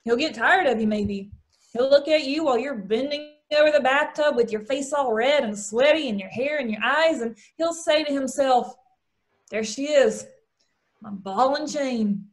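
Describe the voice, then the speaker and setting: A woman acting as a brassy, worldly dame with a very high voice. She coaxes and needles in slangy, blunt stage-play style. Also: normal speed, lightly acted. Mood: needling warning. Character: Babe, brassy, worldly showgirl friend, coaxing and blunt. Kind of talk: virtual stage play table read.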